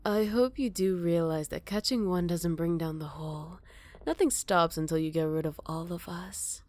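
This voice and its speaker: A woman playing a smug, flirty captured villain in an ASMR roleplay, teasing and coy with breathy murmurs. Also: on the low side, moderate pace, darkish tone, natural voice. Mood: coy, sly.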